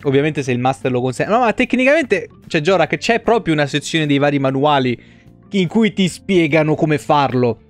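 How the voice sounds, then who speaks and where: A man's voice: a little high, animated, normal speed, soft background music. A young adult man from Italy chatting casually as a game streamer, rambling and swearing freely, on a close desk microphone in a home room. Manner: informal, rambling, profane; repeats words for emphasis. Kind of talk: casual livestream chat.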